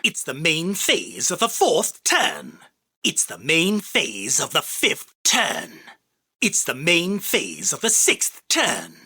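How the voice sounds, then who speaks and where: A man voicing an arrogant, theatrical, self-proclaimed king of card duels in an anime game, with a husky, forceful, declamatory delivery. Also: a little high, huge pitch swings, slow, heavily acted. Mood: announcing. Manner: pompous, boastful, declamatory. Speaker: voice actor. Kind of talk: anime game character battle lines.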